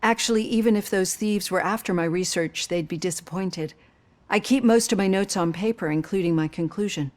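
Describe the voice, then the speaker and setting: A woman playing a gentle psychologist in her fifties. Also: mid-range voice, a little fast, natural voice. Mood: earnest. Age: 50s. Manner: gentle, probing, earnest. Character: female psychologist in her fifties, caring and composed.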